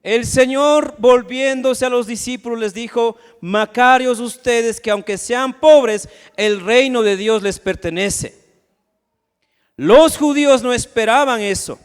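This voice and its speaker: Man, pastor, high voice, long church reverb, rhetorical questions. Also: takes it slowly, clean tone. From Ecuador. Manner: preaching with rhetorical questions and acted asides. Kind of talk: sermon. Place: church sanctuary.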